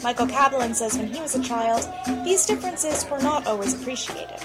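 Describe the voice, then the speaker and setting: A woman's voice: high voice, animated, normal speed, over loud music. A woman reporter giving scripted radio narration with a wry, lightly humorous delivery.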